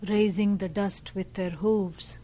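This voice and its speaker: A woman leading a guided meditation as a teacher, speaking gently in short, measured phrases with pauses. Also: medium pitch, steady tone. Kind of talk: slow guided meditation narration.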